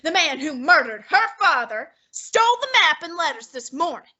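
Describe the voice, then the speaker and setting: A woman playing a pompous male genius detective, using precise and measured diction in a student radio drama. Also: high-pitched, sing-song, sharp tone, exaggerated voice. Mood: deducing. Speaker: student actor.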